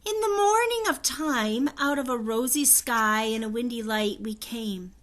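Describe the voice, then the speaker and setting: A woman voicing a regal fairy queen in a children's storybook, proud and solemn, with a high, clear, stately voice. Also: animated, measured pace, a bit bright. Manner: stately, declamatory. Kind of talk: children's storybook read-aloud.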